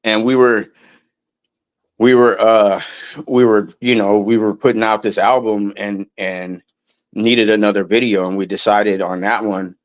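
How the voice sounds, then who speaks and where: A man's voice: lowish voice, fairly even intonation, unhurried. A man in a casual interview, talking in a loose, rambling way with lots of restarts and some swearing. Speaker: guest musician.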